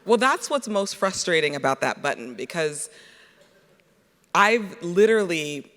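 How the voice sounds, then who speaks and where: A man speaks in a casual, conversational way during a panel. His voice is somewhat high for a man, with long hall reverb around it. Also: very animated, unhurried, sharp tone. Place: large hall with audience. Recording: echoey hall, amplified panel.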